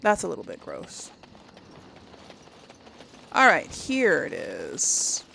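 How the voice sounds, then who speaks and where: A woman's voice: low voice, wildly swooping pitch, takes it slowly, bright, forceful tone. A woman giving casual gameplay commentary, thinking aloud to viewers in short reactive remarks. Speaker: gaming video host. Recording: clear game noise behind voice. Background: game sound effects and ambience.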